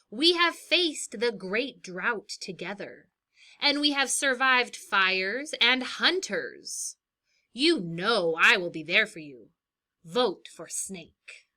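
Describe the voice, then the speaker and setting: A woman's voice: mid-range voice, sharp tone. A woman voicing a self-assured female snake candidate making a campaign speech in a storybook.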